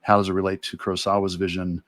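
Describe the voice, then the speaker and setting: A man's voice: low voice, little pitch movement, fast talker, a bit bright, dry recording, clean studio sound. A man speaking casually and reflectively as a guest illustrator explaining his work on a livestream, thinking aloud as he goes. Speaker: guest illustrator and art teacher.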